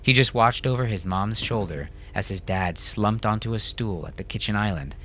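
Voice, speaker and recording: A man's voice: lowish voice, normal speed, a bit bright, slight background noise. A man reading scripted fiction as an audiobook narrator.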